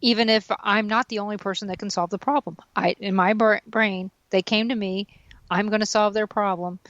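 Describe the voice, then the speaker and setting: A woman in her mid-fifties chats at length and pokes fun at herself on a radio show. Her voice sits at a middle pitch. It comes through dry and slightly dull, like a lo-fi line. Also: steady tone, brisk, fairly bright tone, no room sound. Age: 50s. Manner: talkative, self-deprecating, laughs at herself. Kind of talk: casual chat.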